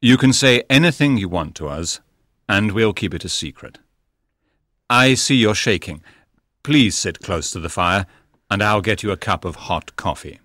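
Male actor as composed, courteous detective for audiobook drama; low register, very animated intonation. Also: normal speed, dry recording, clean studio sound. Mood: reassuring, courteous.

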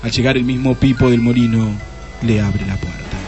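A man's voice: medium pitch, fairly even intonation, moderate pace, over music. A man reading scripted narration for a radio play.

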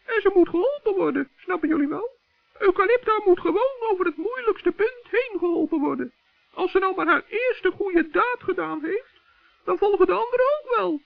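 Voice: extremely high pitch, lively intonation, moderate pace, vocal fry, dry recording, full character voice. An actor playing a kind, trusting, earnest little male gnome in a children's radio play. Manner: earnest, good-natured, determined. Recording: muffled old narrow-band radio recording.

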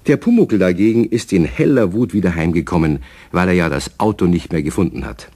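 Children's radio play storyteller, very low voice, lively intonation, friendly, unhurried scripted narration. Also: male voice, slightly rough, exaggerated voice. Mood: friendly, storytelling.

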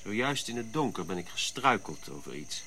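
Nineteenth-century expedition diarist, formal, grave, forceful bright tone, radio drama, faint cricket noise. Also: male voice, fairly low pitch, big pitch swings, heavily acted. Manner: measured, formal. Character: grave nineteenth-century European explorer reciting his journal. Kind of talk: radio drama acting, journal dictation.

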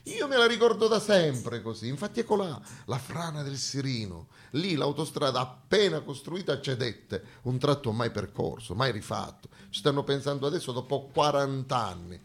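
A man's voice: medium pitch, moderate pace, few pauses. Southern Italian man, theatrical satirical monologue. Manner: dramatic, sarcastic, expressive storytelling. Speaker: stage actor and monologuist.

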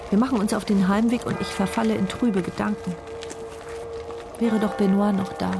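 Radio drama lead: actress playing anguished woman, mid-pitched inner monologue, short present-tense thoughts, prominent music bed. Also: steady tone, normal speed, gravelly, close to an everyday voice. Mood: grieving. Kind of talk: dramatic first-person radio play monologue. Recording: studio radio play with background music.

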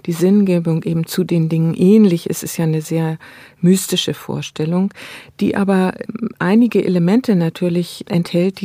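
A woman's voice: lowish voice, mellow, no room sound. A woman speaking as a scholar in an academic interview, phrasing her thoughts in a reflective, analytical way. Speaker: interviewed literary and cultural scholar.

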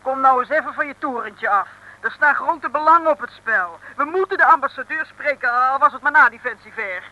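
An actress playing a sensible, coaxing detective's sidekick in an old comic radio serial, patient but firm and persuasive. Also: female voice, high voice, animated, a little fast, pushed and bright, exaggerated voice, poor audio. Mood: reasoning, firm. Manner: reasoning, persuasive. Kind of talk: 1950s-60s comic radio serial acting.

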